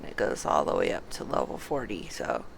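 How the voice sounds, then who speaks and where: A woman game streamer giving a casual live walkthrough, low-key and half murmuring to herself as she plays. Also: very animated, brisk, creaky, a little hiss or hum.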